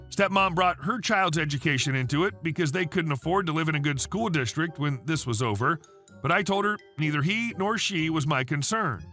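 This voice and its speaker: A man narrating online stories in a steady, even read-aloud delivery. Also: fairly high pitch.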